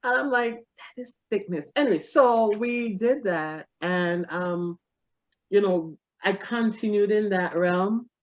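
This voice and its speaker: A middle-aged woman, a stand-up comedian telling stories in a casual interview, animated and quick to laugh. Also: on the low side. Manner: animated, laughs often, self-deprecating. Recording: dull lo-fi recording.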